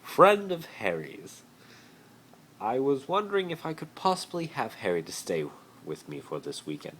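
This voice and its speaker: A theatrical, spoiled teenage wizard from a fan story, acted out by a man. He is pompous and grandly over-dramatic, pitched well above the reader's deep natural voice. The phone recording carries some faint noise. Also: wildly swooping pitch, moderate pace, a bit bright. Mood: smoothly polite. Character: haughty, theatrical teenage rich boy wizard, posh and gallant. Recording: noisy amateur phone recording.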